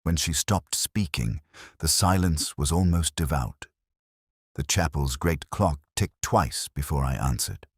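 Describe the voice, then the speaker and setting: A man reading a scripted first-person detective story in a measured, reflective, literary manner. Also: very deep voice, breathy edge, no room sound, clean studio sound. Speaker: narrator.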